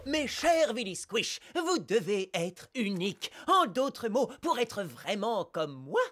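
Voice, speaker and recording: An actor voicing an earnest preteen boy amoeba, the hero of an animated cartoon, with an expressive, slangy way of talking. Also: mid-range voice, takes it slowly, soft background music, full character voice.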